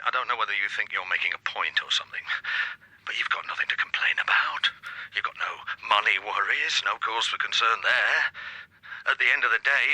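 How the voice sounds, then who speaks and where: Pompous controlling husband, sharp bright voice. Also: male voice, on the low side, no room sound, low-quality recording. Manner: pompous, patronising, repetitive. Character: controlling, self-pitying husband, smug and condescending. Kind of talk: radio drama monologue.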